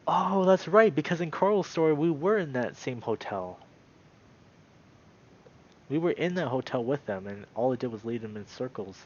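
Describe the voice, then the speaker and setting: A man streaming a visual novel, moving between read-aloud narration and casual, animated commentary. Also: mid-range voice, moderate pace, lo-fi sound.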